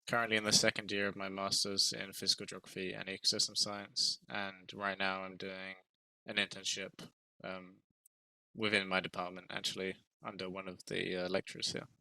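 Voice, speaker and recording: A man from England introduces himself in a low, gravelly voice, quiet and flat. His tone is sharp but dry, and he sits away from the mic. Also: moderate pace, no room sound. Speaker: master's student panelist. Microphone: video call. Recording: distant from the mic.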